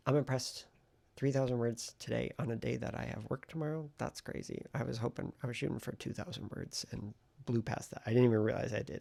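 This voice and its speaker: Male vlogger, heavy vocal fry and some rasp, informal and rambling, thinking aloud. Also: medium pitch, darkish tone. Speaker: vlogger and hobby novelist. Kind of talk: casual writing vlog chat.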